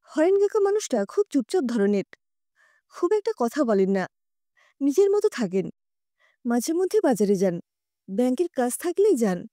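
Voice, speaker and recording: A woman narrating a scripted audio story in an expressive, conversational reading. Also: sits high, moderate pace, long pauses, no room sound, clean studio sound.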